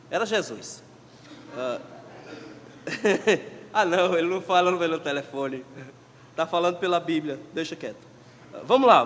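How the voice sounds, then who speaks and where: High-voiced Pernambuco preacher in a reverberant church. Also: male voice. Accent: Pernambuco Portuguese. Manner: emphatic, swings between loud exhortation and quiet asides. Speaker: pastor. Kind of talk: sermon. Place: church sanctuary.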